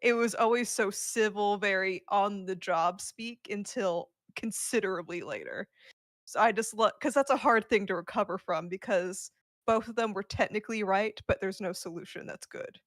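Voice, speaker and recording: A woman's voice: mid-range voice, expressive, normal speed, a bit bright, dry recording. Emphatic woman, dry close sound. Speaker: podcast co-host. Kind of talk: casual podcast chat.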